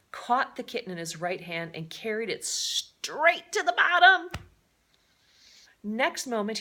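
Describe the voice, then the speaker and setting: A woman reading a children's adventure novel aloud as a storyteller, expressive and given to explanatory asides to her listeners. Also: fairly low pitch.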